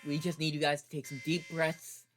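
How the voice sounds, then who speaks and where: A man playing an earnest, excitable young superhero with a reassuring manner in an audio drama. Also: a little high, brisk, over music, lightly acted. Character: eager, earnest young male superhero, easily starstruck.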